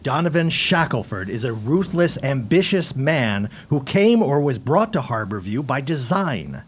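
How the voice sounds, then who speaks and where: An actor playing an earnest, frustrated gentleman neighbor in a period audio drama, speaking formally and emphatically in a husky voice. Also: mid-range voice, sing-song, unhurried. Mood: urgent, warning.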